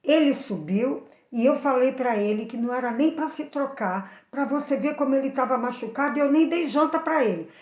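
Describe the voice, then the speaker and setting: A woman storyteller voicing an angry, worried mother who scolds her young son with loud, insistent questions. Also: sits high, brisk, few pauses, close to an everyday voice. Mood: indignant, insistent. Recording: echoey room, slightly dull sound.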